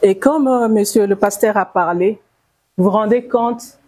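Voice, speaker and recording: A middle-aged woman with a Congolese accent giving grave, emphatic testimony to a gathering, with halting phrasing. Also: medium pitch, dark tone. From Bukavu, South Kivu, DR Congo. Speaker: testifying survivor and audience speaker.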